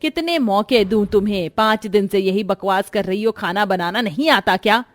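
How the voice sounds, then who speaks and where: A woman's voice: mid-range voice, moderate pace, no room sound, close to an everyday voice. A woman voicing a strict, middle-aged mother-in-law in a moral-story cartoon, indignant and domineering as she lectures. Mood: angry.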